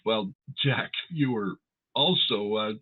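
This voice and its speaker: A man plays a good-natured, hefty announcer from 1940s radio comedy. His husky voice moves around a lot and comes through thin, phone-like sound. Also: on the low side, lively intonation, takes it slowly, full character voice. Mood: good-natured, hesitant. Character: Don Wilson, big good-natured male radio announcer. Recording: tinny, phone-like narrow sound.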